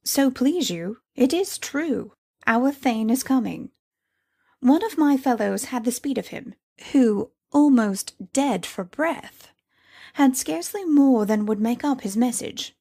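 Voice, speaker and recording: A woman's voice: sits high, lively intonation, slow pace, vocal fry, mellow, heavily acted. A woman playing a deferential servant messenger in a dramatic Shakespearean reading. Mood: earnest.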